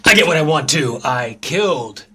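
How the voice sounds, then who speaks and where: A man's voice: a little high, animated, moderate pace, sharp tone, husky, full character voice. A man playing a grandiose, power-hungry cultist villain in a tabletop game, declaiming theatrically. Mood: menacing, grandiose. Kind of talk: tabletop roleplay performance.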